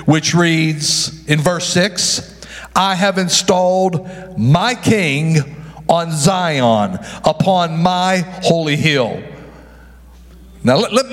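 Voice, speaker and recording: A male pastor preaching a sermon, calling out to the congregation and often stumbling and correcting himself. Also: on the high side. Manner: preaching with call-and-response to the congregation. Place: church sanctuary. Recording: long echo of a large hall.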